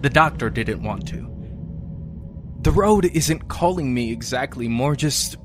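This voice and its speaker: A man playing a lonely, weary survivor broadcasting after an apocalypse in an audio drama, musing in a halting, understated, resigned way. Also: medium pitch, animated, background noise, lightly acted. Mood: lost, resigned. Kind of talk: audio fiction monologue. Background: music.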